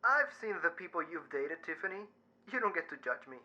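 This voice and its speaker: An actor playing a wry, anxious mortuary worker in an audio drama, measured in delivery, heard over a call. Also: male voice, on the high side, big pitch swings, pushed and bright, heavily acted. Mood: wry, surprised. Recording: dull, band-limited call sound.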